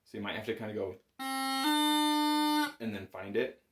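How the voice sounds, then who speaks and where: A man's voice: on the low side, flat delivery, normal speed. A man giving a casual step-by-step music lesson as an instructor, informal, patient and encouraging. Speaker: bagpipe chanter instructor. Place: small indoor music room at home. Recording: roomy, echoing home room sound.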